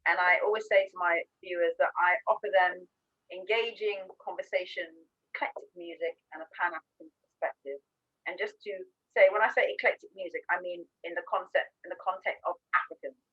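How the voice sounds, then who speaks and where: A woman's voice: on the low side, fairly even intonation, brisk, bright, forceful tone, husky. Woman from Trinidad on a lo-fi call. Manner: earnest, reflective storytelling. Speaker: radio host and guest. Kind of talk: video call conversation. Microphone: video call.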